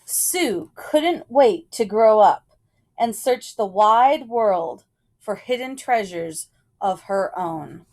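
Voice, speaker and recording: Slow-paced woman reading a children's story aloud. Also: medium pitch, lively intonation, a little mellow. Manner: measured phrasing with deliberate pauses. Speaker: reader of a children's picture book.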